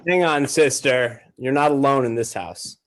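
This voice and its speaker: A man with a medium-pitched voice talks as a guest on a comedy podcast. He chats casually and jokes in a mock-serious way. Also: normal speed, a bit bright.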